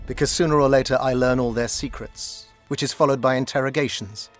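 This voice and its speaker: Actor as a shrewd, cold royal spymaster. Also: male voice, mid-range voice, steady tone, fairly quick, faint music behind, natural voice. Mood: cold, menacing. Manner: articulate, formal, insinuating. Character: shrewd, coldly proud royal spymaster, an adult man. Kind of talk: video game dialogue acting.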